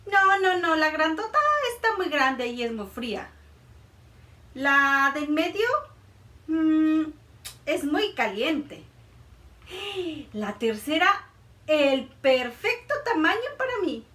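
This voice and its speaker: A woman voicing a curious, excited little girl who squeals her exclamations. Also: high-pitched, slow, pauses often, pitched above the performer's own voice, heavily acted. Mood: pleased. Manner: squealing, wide-eyed exclamations. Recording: roomy sound from a distance.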